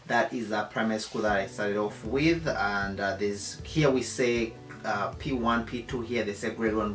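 Ugandan man, 30s, home vlog co-host; hesitant delivery, roomy sound. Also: fairly low pitch. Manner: halting, many fillers and restarts. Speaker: co-host, husband. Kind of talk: casual chat. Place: living room, seated on a couch.

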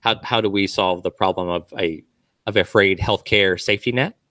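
A man speaking as a healthcare guest on a panel podcast, measured and hedging with fillers. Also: sits low, bright, forceful tone, no echo.

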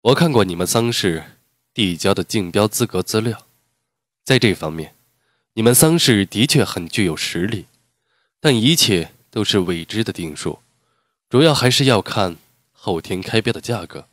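An actor voicing a composed young male architect in an audiobook, speaking in a measured, formal and reserved way. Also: fairly low pitch, expressive, no room sound, close to an everyday voice. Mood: cool. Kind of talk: audiobook dialogue reading.

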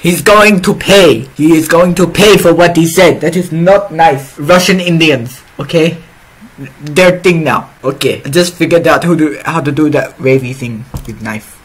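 A young man giving joking commentary over his own gameplay in a put-on, broken-English foreign accent, breaking into loud excited yelps. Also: a little high, measured pace, low-quality recording. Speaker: streamer.

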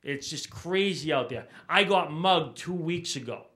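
A middle-aged man doing an energetic, punchy stand-up set on a small TV studio stage, into a handheld mic. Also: a little high, unhurried. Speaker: stand-up comedian. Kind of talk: stand-up routine.

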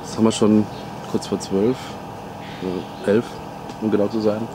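A man's voice: on the low side, flat delivery, normal speed. A man in his thirties chatting casually as a vlogger, rambling with fillers and searching for words, filmed on a camera in a tent and then in a car. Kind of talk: casual vlog chat. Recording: loud background noise from rain and car.

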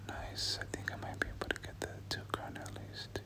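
A person doing whispered ASMR game commentary, speaking casually in gamer slang and often repeating short phrases. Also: a little fast. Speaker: gameplay commentator.

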